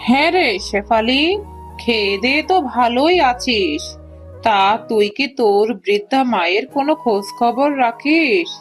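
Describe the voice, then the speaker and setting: A woman's voice: a little high, expressive, unhurried, faint music behind, poor audio. A woman voicing a nosy tigress neighbour in a cartoon, prying and reproachful in manner. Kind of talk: cartoon dubbing.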